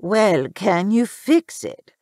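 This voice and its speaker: A woman voicing a haughty middle-aged socialite mother, imperious, dry-witted and deadpan, in a story. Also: medium pitch, slow, no echo. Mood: stern.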